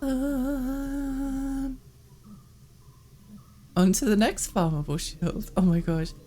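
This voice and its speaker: A woman's voice: high voice, drawn-out words, warm tone. A woman livestreaming a video game, chatting casually and expressively, her voice worn and prone to cracking. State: tired, cracking voice from losing it. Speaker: live game streamer. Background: game music.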